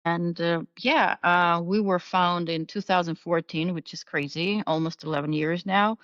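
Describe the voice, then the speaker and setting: A fairly low-pitched woman talks as a podcast guest, full of fillers, restarts and eager warmth for her subject. The sound is dry and a little dull, as if the mic had lost some of its top end. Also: steady tone, moderate pace, dry recording. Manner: hesitant, many fillers and restarts, enthusiastic. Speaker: skincare brand founder, guest. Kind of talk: casual interview chat.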